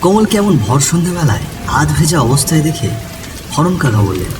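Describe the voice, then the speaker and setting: A man's voice: fairly high pitch, very animated, moderate pace, music in the background. A man narrating a scripted ghost story in a dramatic, suspenseful storytelling manner. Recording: distant voice over noisy ambience.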